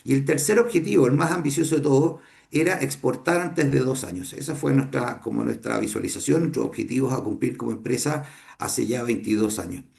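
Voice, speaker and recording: Gravelly Chilean man giving a class. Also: medium pitch, a little mellow. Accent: Chilean Spanish. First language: Spanish. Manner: unhurried, thinking aloud with many fillers and restarts. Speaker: business owner teaching a class. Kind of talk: lecture to students.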